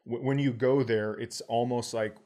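A man's voice: mid-range voice, steady tone, normal speed, warm tone. A middle-aged American man chatting casually and telling stories as a podcast host, in an informal, profane way. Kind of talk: casual chat, storytelling.

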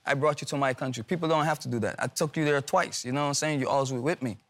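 A man's voice: medium pitch, rapid-fire, a bit bright. A man from Guyana, defending himself before a judge in a long, rambling way. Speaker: litigant.

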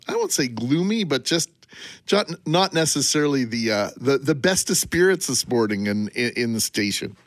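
A man's voice: mid-range voice, a bit bright, no room sound. A radio co-host who chats on and on from the studio, often restarting his sentences. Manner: chatty, rambling, with many restarts. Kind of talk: morning radio talk.

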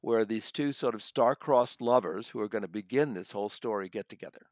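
A man's voice: lowish voice, brisk, darkish tone, dry recording, studio quality. A man reviewing books in conversation as a podcast guest, enthusiastic and considered in how he talks. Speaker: audiobook critic and podcast guest.

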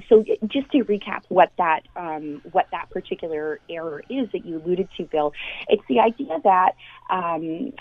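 A woman's voice: fairly low pitch, no room sound. A Canadian woman explaining things earnestly and conversationally, using everyday examples, as an expert guest in a radio interview. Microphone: phone call into a radio show. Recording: thin phone-like line.

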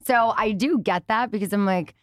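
A woman's voice: medium pitch, moderate pace, dry recording. A woman hosting a studio interview, chatty and encouraging. She often answers with short affirmations. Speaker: podcast host. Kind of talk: casual interview chat.

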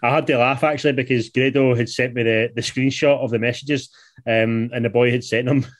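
Brief: man, late twenties, podcast host, mid pitch, laid-back and unhurried, sweary. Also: fairly even intonation. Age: 20s. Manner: laid-back, swears, reads riddles slowly. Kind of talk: casual chat.

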